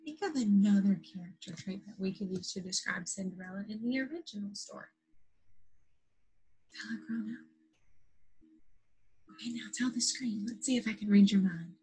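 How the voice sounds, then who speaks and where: A woman teacher leading an interactive lesson for young children after a read-aloud, in simple, patient phrases with questions and drawn-out key words. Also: mid-range voice, warm tone, slightly rough, rough recording. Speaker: primary school teacher.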